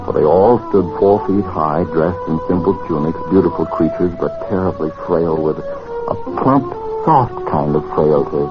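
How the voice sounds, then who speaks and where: A very low-voiced, wary time traveller in a 1940s radio drama. He speaks in measured, literary phrases with an anxious, gentle streak. The old recording is dull, with music swelling underneath. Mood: solemn storytelling. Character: wary male time traveller and narrator, easily frightened, gentle. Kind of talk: 1940s-50s radio acting, dramatic narration.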